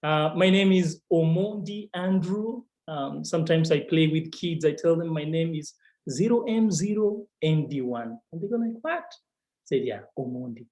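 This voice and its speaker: A man with a Kenyan accent, an assistant chaplain, telling his story in a relaxed and playful way. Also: fairly high pitch, moderate pace. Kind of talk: casual storytelling on a video call. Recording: roomy echo.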